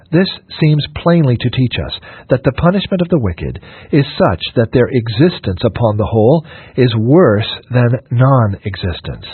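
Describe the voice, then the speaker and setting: A man reading a scripted sermon in a measured, solemn and formal manner. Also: medium pitch, slightly rough, no echo. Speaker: reader of a historical sermon. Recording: narrow phone-like radio sound, dry.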